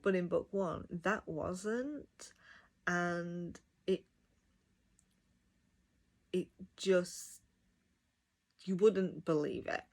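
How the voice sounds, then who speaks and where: A woman's voice: lowish voice, drawn-out words, pauses often, a little mellow. A woman giving a casual, enthusiastic review, talking directly to viewers. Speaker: audiobook reviewer.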